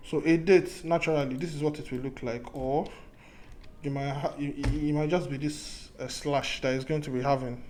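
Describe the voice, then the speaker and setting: A man teaches a programming lesson in a loose, talk-it-through way, stumbling over restarts and saying his main points twice. His voice sits a little high for a man. A faint hum of background noise runs under the recording. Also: steady tone, moderate pace, a little mellow. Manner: thinks aloud with many restarts, repeats key points. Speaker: programming tutor. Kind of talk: casual screen-recorded coding lesson.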